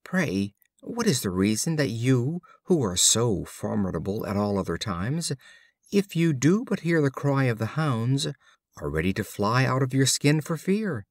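Man reading as politely puzzled young fawn, lively intonation. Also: mid-range voice, unhurried, dry recording, studio quality. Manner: courteous, old-fashioned questioning.

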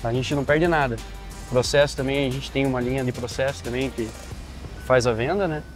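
A man's voice: medium pitch, steady tone, brisk, soft background music. A man with a Brazilian Portuguese accent, the company's process director, explains the sawmill in a plain, matter-of-fact way, often restarting and repeating words. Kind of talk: casual explanatory interview. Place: outdoors at a sawmill.

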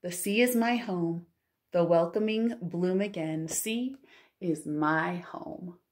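A woman in her thirties, a children's librarian, reads a picture book aloud and chats to young listeners with expressive wonder and drawn-out emphasis. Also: fairly low pitch. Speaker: children's librarian hosting storytime. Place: living room at home, indoors.

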